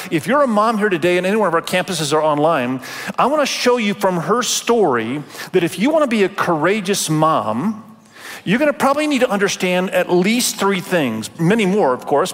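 A middle-aged male pastor raised in Indonesia, preaching a Sunday sermon in a relaxed, conversational way with many fillers and restarts. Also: sits high. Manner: warm conversational delivery with frequent fillers and restarts. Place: large church auditorium. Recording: long church reverb.

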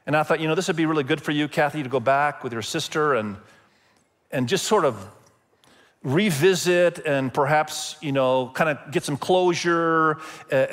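A man who grew up in Indonesia, preaching a sermon as pastor in a conversational storytelling way, with emphatic pauses. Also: mid-range voice. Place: church sanctuary. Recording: long hall reverb.

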